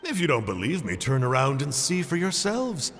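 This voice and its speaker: Swoopy, sly, teasing game stranger. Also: male voice, on the high side, wildly swooping pitch, moderate pace, big-room sound, music in the background. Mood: breezy, sly. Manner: glib, teasing, drawling. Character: sly, glib, teasing adult male stranger. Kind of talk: video game voice acting.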